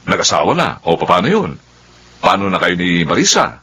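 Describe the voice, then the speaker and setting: Slick hearty filipino business guy, radio drama, bright voice jumping all over. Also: low voice, big pitch swings, moderate pace, breathy edge, full character voice. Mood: mocking, persuasive. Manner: hearty, cajoling. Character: breezy, persuasive businessman coaxing his friend.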